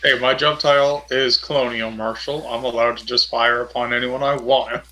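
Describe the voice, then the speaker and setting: A male tabletop player talks casually in character. His pitch is middling and his tone is bright and sharp, with a forceful edge. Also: level tone, moderate pace, poor audio. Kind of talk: in-character casual game chat.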